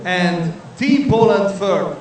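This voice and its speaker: A woman's voice: mid-range voice, lively intonation, slow, a little mellow, a little hiss or hum. A woman working as an event announcer, formally reading out results.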